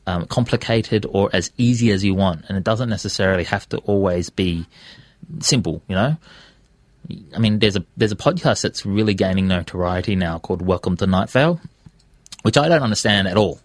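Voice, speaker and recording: Deep-voiced Australian man, dry studio sound. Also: normal speed, no echo. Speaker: radio presenter and podcaster on as a guest. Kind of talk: conversational interview. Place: radio studio.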